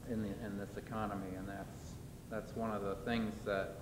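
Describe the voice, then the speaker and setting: Rambling low-voiced man in an echoey hall. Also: little pitch movement, normal speed. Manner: rambling, many fillers and restarts. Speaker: farmer panelist. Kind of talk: panel discussion answer. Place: large hall, panel event. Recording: echoey hall with background noise.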